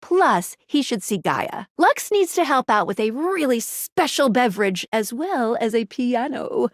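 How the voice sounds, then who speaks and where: Perky young female video game helper: high-pitched, very animated delivery, playful, encouraging, quirky. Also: a little slow, dry recording, studio quality, heavily acted. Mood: helpful, informative. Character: chipper, helpful young female magical guide, quirky and encouraging. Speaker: voice actor playing a guide character in a dating simulator game.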